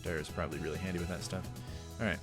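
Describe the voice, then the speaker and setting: A man's voice: low-pitched, level tone, rapid-fire, music in the background. A man giving casual let's play commentary and reading aloud, rambling a bit and correcting himself in asides. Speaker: let's play host reading a visual novel.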